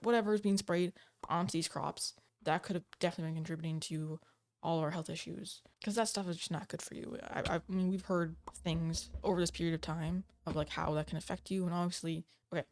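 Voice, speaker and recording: A woman's voice: lowish voice, darkish tone. A woman talking casually to camera from home, rambling and hesitant with many fillers and restarts, her voice a little off from being sick. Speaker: gaming channel host. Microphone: desk microphone.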